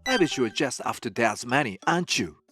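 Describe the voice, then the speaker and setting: An insolent, spoiled teenage stepson acted by a man in a manga dub. The voice is mid-pitched and swings widely as he mocks, over a light music bed. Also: big pitch swings, brisk, a bit bright. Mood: sneering. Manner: mocking, rude. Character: insolent, spoiled eighteen-year-old stepson, sneering.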